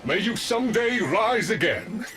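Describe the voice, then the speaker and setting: An actor playing an earnest young son of a strange noble family in a video game, with florid, dramatic phrasing. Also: male voice, high voice, sing-song, a little slow, noisy background. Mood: mournful, grandiose. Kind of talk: theatrical video game acting. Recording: loud music under the voice.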